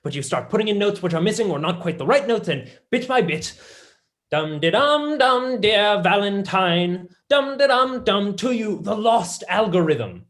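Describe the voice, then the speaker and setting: A young man playing a passionate, blunt, excitable mathematician in a staged play reading. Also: high-pitched, normal speed. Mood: animated. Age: young adult.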